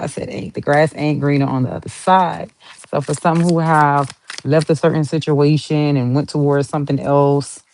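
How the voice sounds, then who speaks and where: A woman's voice: a bass voice, a little mellow, a little hiss or hum, low-quality recording. A woman with a Southern US accent giving a chatty, rambling tarot reading, playful and talkative as she pulls cards. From Southern United States. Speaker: tarot reader.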